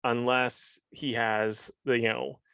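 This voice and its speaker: A man's voice: mid-range voice, little pitch movement, slow, vocal fry, sharp tone, smooth, no room sound. Laid-back rambling man, dry sound. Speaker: podcast co-host. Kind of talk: casual chat.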